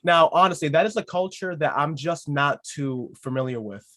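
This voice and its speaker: American man, podcast host, higher-pitched, chatty, jokey, stumbles and restarts. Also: moderate pace, pushed and bright. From United States. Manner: playful, rambling, many restarts. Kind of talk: casual interview chat.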